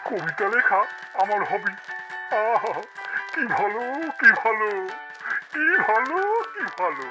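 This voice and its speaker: A man's voice: high voice, big pitch swings, heavily acted, rough recording. Vain, theatrical cartoon tiger king. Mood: silly, pleased. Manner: self-admiring, theatrical, drawn-out exclamations. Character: vain, foolish, boastful tail-less tiger king of the forest. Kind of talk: cartoon character acting in a comic animal tale. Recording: loud music behind.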